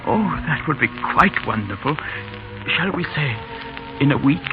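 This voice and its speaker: A man's voice: mid-range voice, animated, normal speed, natural voice. Man playing a shy, earnest English schoolmaster, old radio. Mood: happy, flustered. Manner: hesitant, earnest, self-deprecating. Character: shy, modest, middle-aged English schoolmaster in love. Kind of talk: 1930s radio drama acting. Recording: muffled 1930s radio broadcast, music behind.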